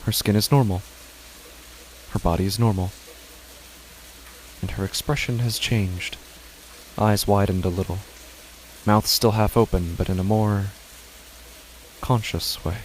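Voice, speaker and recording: A man narrating a first-person horror story in a measured, tense way. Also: on the low side, steady tone, a little hiss or hum, rough recording.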